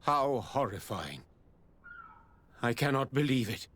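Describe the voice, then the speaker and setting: An actor playing a dignified, diplomatic governor in a game, formal and deliberate. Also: male voice, mid-range voice, very animated, fairly bright tone, full character voice. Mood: shocked, formal.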